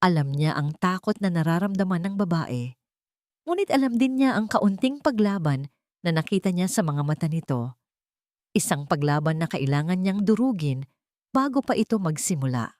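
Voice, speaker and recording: A woman's voice: lowish voice, a little mellow, no echo, clean studio sound. A woman narrator reading a scripted, dramatic love story for an audio drama.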